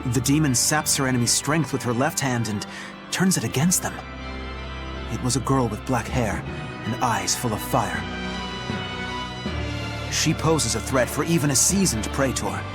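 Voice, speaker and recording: A man's voice: mid-range voice, expressive, a little slow, long pauses, over music, natural voice. Man playing an earnest, gallant young knight. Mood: grave. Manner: formal, earnest. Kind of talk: video game voice acting.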